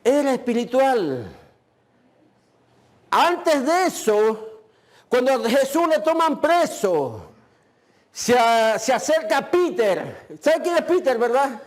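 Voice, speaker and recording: Male pastor, very high voice, unhurried emphatic sermon delivery. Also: big pitch swings. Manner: slow, emphatic preaching with drawn-out phrases; uses the filler "este".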